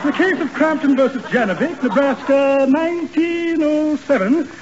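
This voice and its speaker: Pompous jargon-spouting lawyer. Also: male voice, extremely high pitch, normal speed, darkish tone. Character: pompous fast-talking small-town lawyer. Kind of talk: 1940s radio comedy acting. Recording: muffled 1940s radio broadcast.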